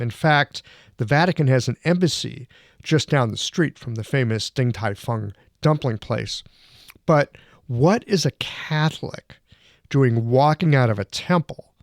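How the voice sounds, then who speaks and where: A man hosts a podcast and tells a personal story in a measured, scripted narration with a wry edge. His voice sits at an ordinary middle pitch. It is recorded close and dry, with no room sound. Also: creaky, no room sound. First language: English. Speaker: podcast host.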